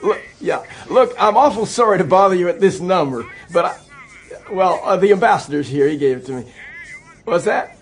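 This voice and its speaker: An actor playing a meek, apologetic American president, hesitant and awkwardly chummy, in a satirical radio play phone call. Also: male voice, high voice, animated, normal speed, soft background music. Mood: nervously chummy.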